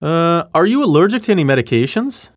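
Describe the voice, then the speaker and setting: A man reading scripted lesson dialogue and practice phrases in a plain, measured way. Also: a little high, sing-song, no echo, studio quality. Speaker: language lesson voice actor.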